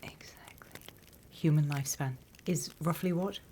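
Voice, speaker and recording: A British woman voicing a sly, wryly menacing demon instructor in a hushed, conspiratorial ASMR roleplay whisper, close to the microphone. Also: deep voice, slight background noise, lightly acted. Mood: dry, instructive. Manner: measured, hushed, dryly conspiratorial.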